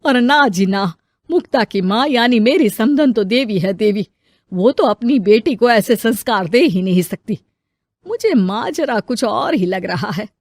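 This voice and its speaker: A woman's voice: fairly high pitch, animated, measured pace, a little mellow, no echo, voice pushed down. A woman playing an older mother-in-law in a family story, fond and fussing, with exclamations. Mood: concerned, puzzled.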